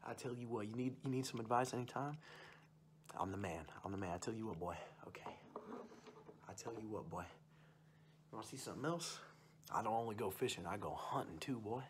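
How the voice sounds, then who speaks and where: A young man acts out a wild, rustic uncle in an ASMR roleplay. His voice sits a little low, and he rambles in a folksy, bragging way, circling back to stock phrases. The recording is made close up indoors, with audible background noise and hum. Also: a little fast, pauses often, close to an everyday voice. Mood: boastful, enthusiastic. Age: young adult. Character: wild rustic uncle, boastful hunting and fishing enthusiast. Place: small room indoors.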